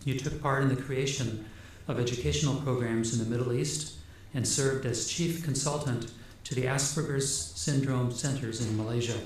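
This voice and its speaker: A man's voice: mid-range voice, fairly even intonation, moderate pace, hardly any pauses. Male, formal ceremonial reader of an award citation, heavy room echo, faint background noise. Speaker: provost reading an award citation. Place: large ceremony hall. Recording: very echoey hall, faint noise.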